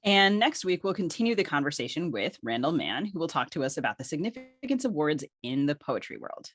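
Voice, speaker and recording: A woman's voice: deep voice, big pitch swings, brisk, soft background music. A woman co-hosting a literary podcast, reading guest introductions and nominee lists with careful articulation. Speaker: podcast co-host.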